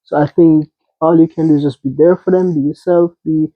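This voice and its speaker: A man in a casual personal discussion, speaking in a gentle, encouraging way through tinny, band-limited sound. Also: fairly high pitch, fairly even intonation, brisk, no room sound.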